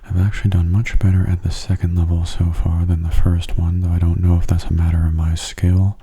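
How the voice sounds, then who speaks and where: A man giving soft-spoken, hushed ASMR commentary on a video game, slipping into whispers, close to the microphone. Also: deep voice, flat delivery, a little fast, breathy edge.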